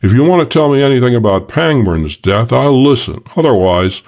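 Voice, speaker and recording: A man's voice: mid-range voice, expressive, moderate pace, a little mellow, voice pushed up, lightly acted. A man voicing a stern, curt middle-aged detective in a crime audiobook, blunt and commanding.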